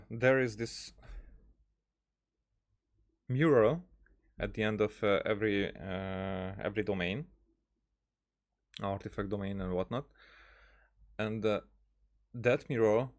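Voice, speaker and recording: Man, lowish voice, gaming streamer, casual lore commentary, halting, rambling, thinking aloud.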